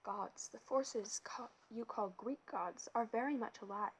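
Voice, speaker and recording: A woman reading an audiobook, voicing a kindly, learned male teacher who speaks gently and with measured patience. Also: fairly high pitch, slight background noise. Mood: gentle, earnest.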